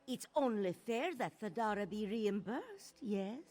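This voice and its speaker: A woman playing a polite but catty cat-folk merchant in a fantasy game, measured and courteous with a husky voice. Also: medium pitch, big pitch swings, darkish tone. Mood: businesslike. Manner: measured, courteous, refers to herself in third person.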